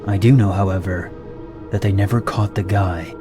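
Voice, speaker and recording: A man narrating horror stories in an even, measured voice. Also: sits low. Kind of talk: scripted narration of reader-submitted stories. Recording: narration over loud background music.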